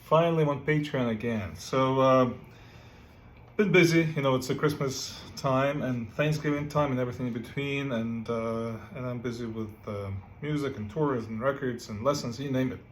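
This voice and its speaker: A man's voice: mid-range voice, moderate pace, a little hiss or hum. A man from Ukraine, teaching a casual guitar lesson and often checking in with "right?" between filler words. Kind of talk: casual music lesson with playing. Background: guitar playing.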